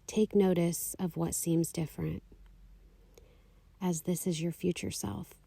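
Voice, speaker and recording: A woman leading a guided meditation, giving calm, soothing, unhurried instructions. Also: on the low side, vocal fry, breathy edge. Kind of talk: guided meditation, slow scripted narration.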